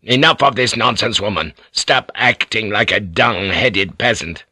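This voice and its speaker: A man's voice: sits low, unhurried, pushed and bright, no room sound, clean studio sound, full character voice. A man voicing an imperious, scornful feudal lord in an audiobook, stern and commanding. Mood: angry, scornful.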